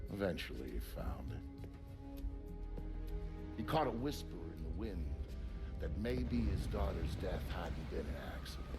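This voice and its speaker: A bitter, hard-bitten male storyteller narrates a video game in a deep voice. He is slow, deliberate and brooding, with loud music underneath. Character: bitter, world-weary male military storyteller.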